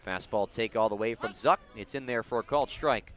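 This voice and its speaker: A man's voice: on the low side, brisk, fairly bright tone. Man calling live baseball play-by-play. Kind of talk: live radio sports commentary. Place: outdoors at a baseball park.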